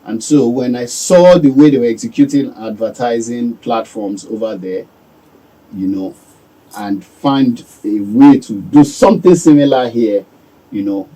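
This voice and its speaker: Calm Nigerian man, husky and mellow. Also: mid-range voice, distant mic, poor audio. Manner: calm, measured, reflective. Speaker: business owner guest. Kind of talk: radio interview answers. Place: radio studio.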